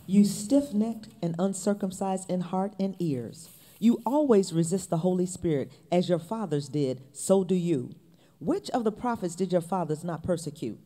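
A woman reading scripture aloud in a measured, fluent way. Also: on the low side, animated, mellow.

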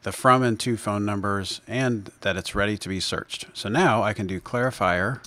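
Male screencast presenter, lower register, measured step-by-step tutorial delivery, unscripted. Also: a bit bright. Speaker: presenter of a software demo.

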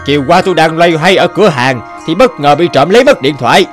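An actor playing an aggrieved, accusing middle-aged male shopkeeper in an animated drama. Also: on the high side, fast, soft background music, natural voice. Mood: indignant.

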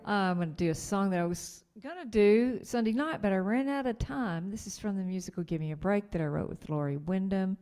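A woman's voice: medium pitch, fairly quick, few pauses, dark tone, faint music behind. A woman in her sixties chatting casually as a songwriter on a video call, close to a microphone. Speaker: songwriter at an open mic. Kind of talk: casual chat, introducing a song. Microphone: microphone on a boom arm, close up.

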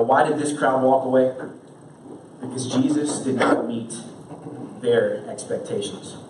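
A young man preaches from a church stage in an earnest, questioning tone. His voice sits at a middle pitch and is picked up from a distance, so it rings around the hall with a little background noise. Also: darkish tone. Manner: earnest, asks many rhetorical questions. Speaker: pastor. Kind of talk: sermon. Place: church stage with band gear, indoors. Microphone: stand mic, far camera. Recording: roomy church sound from far off.

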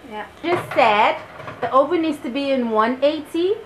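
A woman's voice: high voice, lively intonation, normal speed, poor audio. A young woman hosting a casual home cooking vlog, chatty and enthusiastic as she explains each step. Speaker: vlog host. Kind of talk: casual cooking commentary to camera. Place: small home kitchen, indoors. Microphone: camera microphone. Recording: clear kitchen noise, camera mic. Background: kitchen cooking ambience.

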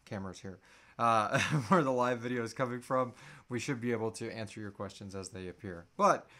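A man's voice: medium pitch, fairly quick, sharp tone. A man in his thirties is hosting a live question-and-answer stream. He talks in a casual, loose and easygoing way, free with a swear word, recorded at desk distance in a small room at home. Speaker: podcast host.

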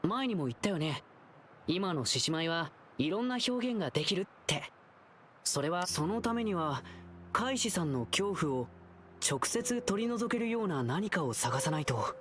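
A man's voice: sits high, very animated, normal speed, fairly bright tone, a little hiss or hum. A man voicing a cheerful, earnest young lion-dance performer in an anime game, eager and boyish.

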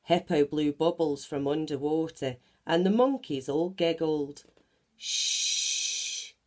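A woman reading a children's picture book aloud in an animated, deliberate manner. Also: low voice. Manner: animated, deliberate, acts out sound effects. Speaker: children's story reader. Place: small bedroom at home, indoors.

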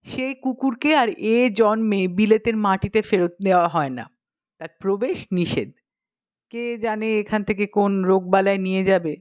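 A woman voicing a fussy, worried elderly British gentleman in a storybook reading, in a grave and fretful manner. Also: mid-range voice, unhurried, lo-fi sound, close to an everyday voice.

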